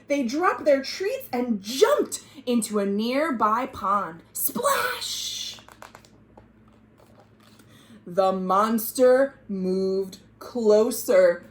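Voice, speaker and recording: Female storytime host: high voice, slow delivery, dramatic exclamations for young listeners. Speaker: children's librarian, storytime host. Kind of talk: animated storybook read-aloud for children. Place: at home.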